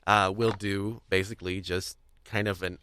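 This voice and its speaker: A man's voice: low-pitched, fairly even intonation, normal speed, sharp tone, clean tone, no room sound, clean studio sound. A man hosting a podcast in casual conversation, speaking in a hesitant, rambling, stop-start way. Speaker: podcast host. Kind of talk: casual discussion of audio drama.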